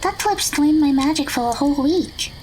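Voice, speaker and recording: Very high-pitched, gentle, polite teenage magical girl. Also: lively intonation, normal speed, slight background noise, low-quality recording. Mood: explaining. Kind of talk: audio drama acting.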